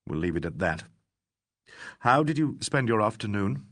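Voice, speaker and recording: Man doing weary police inspector, calm, firm, measured, dry close audio. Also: lowish voice, no echo.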